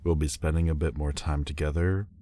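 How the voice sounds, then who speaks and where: A man playing a proud demon lord in a roleplay audio drama, speaking formally and haltingly, guarded but vulnerable underneath. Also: extremely low pitch, fairly even intonation, mellow. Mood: awkward, sheepish. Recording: faint background noise and ambience. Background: faint ambient sound effects.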